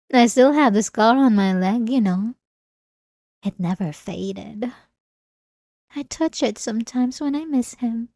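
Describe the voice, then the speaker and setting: A woman voicing an obsessive, possessive centuries-old vampire in an ASMR roleplay, with soft, teasing, intimate delivery. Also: fairly high pitch, slow pace, long pauses, no echo, studio quality. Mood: nostalgic, mocking. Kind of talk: ASMR roleplay audio drama.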